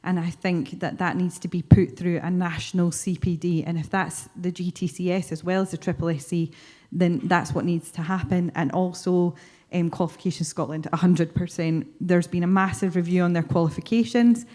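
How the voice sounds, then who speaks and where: Mellow, lowish-voiced woman on a panel. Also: steady tone, moderate pace. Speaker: panel speaker on outdoor education. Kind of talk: unscripted panel discussion.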